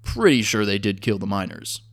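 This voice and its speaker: A man's voice: on the low side, very animated, moderate pace, no room sound, clean studio sound. A man voicing an easygoing male adventurer who sounds laid-back, practical and wry.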